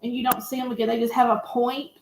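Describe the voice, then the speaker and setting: Female panelist, slightly high voice, informal film chat, echoey and faintly noisy recording. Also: little pitch movement, fast, darkish tone. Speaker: panelist on a movie review show. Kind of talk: casual movie discussion. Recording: echoey room with background noise.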